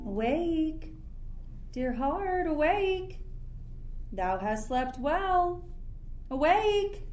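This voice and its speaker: A woman's voice: sits high, big pitch swings, drawn-out words, darkish tone, exaggerated voice. A young woman playing an imperious sorcerer who rules an island, in theatrical Shakespearean verse for a radio play. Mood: gentle, coaxing. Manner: commanding, theatrical. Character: Prospero, imperious, stern sorcerer ruling an island.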